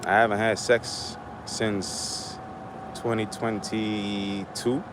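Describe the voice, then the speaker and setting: Trini guy rambling and cussing on a livestream, lowish voice, traffic noise behind him outside. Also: little pitch movement, extremely slow. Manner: rambling, blunt, profane. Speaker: livestream host. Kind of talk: casual livestream rant.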